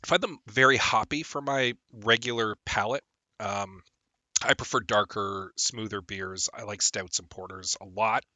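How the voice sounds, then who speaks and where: A man's voice: fairly low pitch, measured pace, pushed and bright, dry recording. A young man chatting casually while streaming a game, rambling with lots of ums, a bit phlegmy from a lingering cold. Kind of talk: casual gameplay stream chat.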